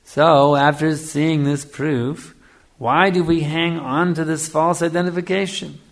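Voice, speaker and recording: A man's voice: a little high, steady tone, a little slow. A man giving a spiritual lecture in a didactic way, repeating phrases for emphasis and checking in with his listeners. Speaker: spiritual teacher giving a discourse. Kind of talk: Hare Krishna style lecture on scripture.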